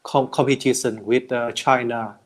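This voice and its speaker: A man speaking English as a learner, halting and hesitant, restarting words often in casual chat. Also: medium pitch, fairly even intonation. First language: not English. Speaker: English learner in conversation practice.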